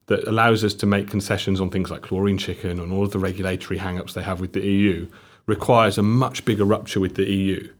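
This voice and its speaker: A young man from South Wales with a South Welsh accent, explaining economics on a discussion panel in a measured way that stumbles and restarts. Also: deep voice, fairly even intonation. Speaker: panel guest.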